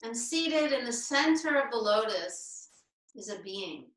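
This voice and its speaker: A woman with a fairly high, very bright voice leads a guided meditation at an unhurried pace. She delivers short, deliberate phrases with space between them. The sound is roomy, picked up over a video call. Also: expressive, distant mic. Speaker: meditation teacher.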